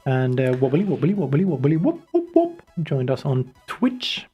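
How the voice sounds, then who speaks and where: A man's voice: a little high, wildly swooping pitch, moderate pace, husky, dull audio, faint music behind. A man co-hosting a live radio show from a basement studio, rambling casually with many fillers. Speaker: radio co-host.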